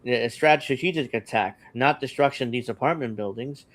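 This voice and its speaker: Halting man hosting a panel show. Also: medium pitch. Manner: loose, halting, with many fillers and restarts. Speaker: show host. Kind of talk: casual panel chat.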